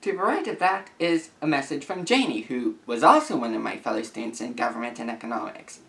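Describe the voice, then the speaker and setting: A young man of about eighteen reading messages aloud and commenting to camera as a YouTuber, with an earnest, deliberate, halting delivery. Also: medium pitch, animated, gravelly, fairly bright tone, recorded from a distance. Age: young adult, about 18.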